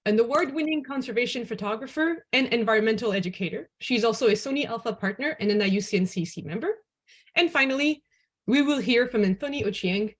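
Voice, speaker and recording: Higher-pitched woman hosting an online talk. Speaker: host and moderator. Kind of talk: prepared presentation at an online dialogue.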